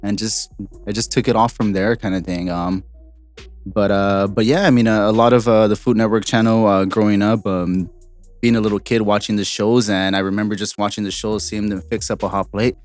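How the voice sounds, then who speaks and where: Young man, low voice, loose and rambling, fillers, faint music behind. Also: a little fast. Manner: relaxed, rambling, many fillers. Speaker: guest, street taco vendor. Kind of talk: casual podcast chat.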